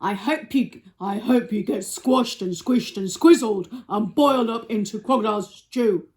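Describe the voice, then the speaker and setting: A woman voicing a big, indignant male elephant in a children's storybook, scolding slowly and emphatically. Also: mid-range voice, darkish tone, lightly acted. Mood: outraged.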